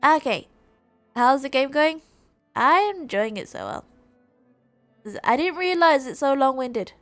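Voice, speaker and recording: A woman livestreamer chatting casually during a game, halting and often rephrasing herself. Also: sits high, expressive. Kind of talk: casual gaming livestream chat. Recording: roomy, music under the voice.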